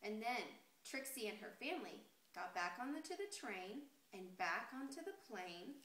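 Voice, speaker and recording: A woman reading a picture book aloud expressively and deliberately, putting questions to young listeners. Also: a little high, big pitch swings, a bit bright, a little hiss or hum. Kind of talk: expressive children's story read-aloud. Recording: roomy, echoey sound.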